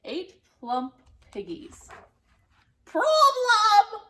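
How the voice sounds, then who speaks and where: A woman's voice: very high-pitched, wildly swooping pitch, extremely slow, pushed and bright, voice pushed up, exaggerated voice. A woman voicing a cranky, scheming old witch in a storybook, with theatrical, bossy exclamations. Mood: gleeful, scheming. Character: cranky, scheming hag witch craving piggy pie. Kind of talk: storybook character voice.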